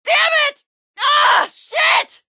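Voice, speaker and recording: A woman's voice: very high voice, animated, extremely slow, pushed and bright, no room sound, shouting, studio quality, heavily acted. An actress playing a stern, persistent female police detective in a video game, questioning with a firm, probing and dryly sarcastic manner. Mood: panicked, shouting. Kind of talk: dramatic video game dialogue.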